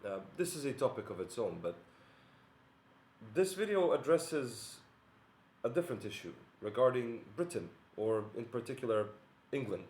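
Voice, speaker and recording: A man from Bosnia, his tongue, giving an earnest, measured monologue with many pauses and fillers. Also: medium pitch, expressive, a little mellow, echoey room. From Bosnia and Herzegovina. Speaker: video commentator. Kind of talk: monologue to camera.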